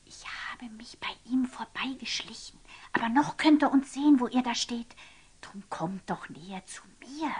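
An actress playing a sly, secretive go-between in a classical German radio drama, coaxing in a hushed, conspiratorial way in standard German. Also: female voice, on the high side, animated, normal speed, breathy edge. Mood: furtive.

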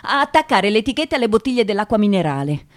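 Actress as a measured woman narrator, mid-pitched. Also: very animated, close to an everyday voice. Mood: sober, descriptive. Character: thoughtful journalist narrating her investigation. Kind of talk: scripted radio drama narration.

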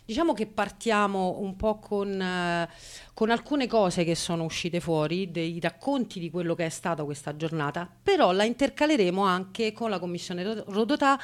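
A woman hosting an unscripted live radio talk, speaking in a halting, improvised way. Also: mid-range voice. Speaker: radio show host.

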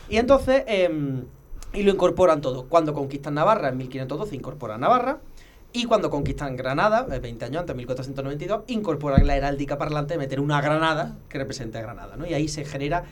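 A man, a guest expert explaining history in casual conversation, lecturing with many pauses and restarts. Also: fairly high pitch, expressive.

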